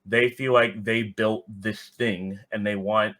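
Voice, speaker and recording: A man's voice: lowish voice, fairly even intonation, takes it slowly, bright, forceful tone. A man speaking as a podcast guest, giving casual, reflective explanations and checking that he is understood. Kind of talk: casual conversational interview answers.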